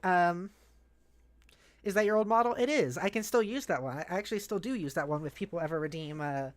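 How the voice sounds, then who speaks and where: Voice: mid-range voice, fairly quick. A streamer host chatting casually and animatedly with viewers on a livestream. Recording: music playing behind the voice.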